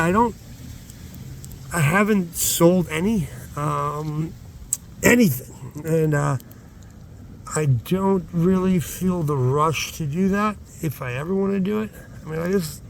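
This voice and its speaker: A man's voice: on the high side, gravelly, slight background noise. A man talking casually as host of his own outdoor vlog, hesitant and meandering, often interrupting himself. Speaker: channel host. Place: outdoors at night, rockhounding.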